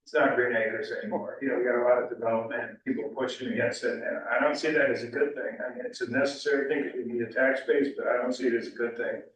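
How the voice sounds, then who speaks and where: A man's voice: mid-range voice, steady tone, fast, few pauses, fairly bright tone. A middle-aged or older American man giving rambling, conversational public comment as a resident at a town meeting. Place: indoor public meeting room. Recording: very echoey meeting room, distant mic.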